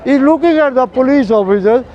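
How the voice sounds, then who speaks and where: A man's voice: very high voice, brisk, dull audio, a faint crowd. An older man talking earnestly with a passer-by on a city street, explaining his views with many fillers. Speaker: street preacher. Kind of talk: street conversation. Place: busy city street outdoors.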